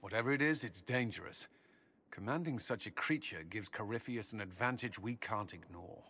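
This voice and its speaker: A man's voice: lowish voice, moderate pace, sharp tone, slightly rough. A man playing a grave, wary military commander in a fantasy game, speaking seriously. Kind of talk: dramatic fantasy game dialogue.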